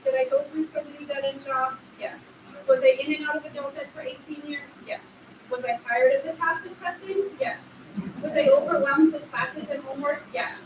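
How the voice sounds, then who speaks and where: Woman in her 30s reading her grad speech, sincere and emotional, high voice, mic far away in an echoey room. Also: animated, moderate pace. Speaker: graduating adult student giving a speech. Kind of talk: prepared graduation speech. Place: large echoey hall at a graduation ceremony. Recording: echoey hall, distant mic, faint noise.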